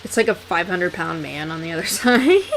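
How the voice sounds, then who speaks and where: Creaky-voiced woman on a noisy call. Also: fairly low pitch, lively intonation, normal speed, fairly bright tone. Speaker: caller. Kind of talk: casual phone chat. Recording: noisy, distant leaked phone call.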